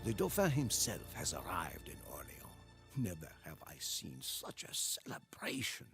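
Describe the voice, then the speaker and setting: Game cutscene narrator: a weary, loyal medieval soldier chronicling a war, deep, solemn, expressive delivery over music. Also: male voice, moderate pace, heavily acted. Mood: solemn, reflective. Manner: solemn, storyteller-like. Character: weary, devoted French soldier chronicling Joan of Arc's war. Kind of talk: scripted dramatic narration. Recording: music behind the voice.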